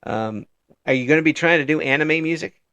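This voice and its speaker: A man with a gravelly voice hosts a relaxed interview, circling through fillers and restarts as he works toward his point. He chuckles often between thoughts. The sound is dry and close. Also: on the high side, a little fast, no echo. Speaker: interview host. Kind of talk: casual interview chat.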